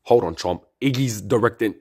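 Man playing a husky, grumpy cartoon tough guy. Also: on the low side, normal speed, a little mellow. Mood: grudging, grumpy. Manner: irritable, sarcastic. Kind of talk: comedic character skit.

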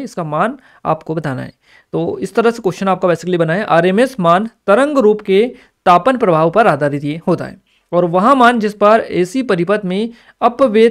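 A man giving an instructive exam-review lesson, reading notes aloud and explaining them as a coaching teacher. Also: high voice. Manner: instructive, repeats key points.

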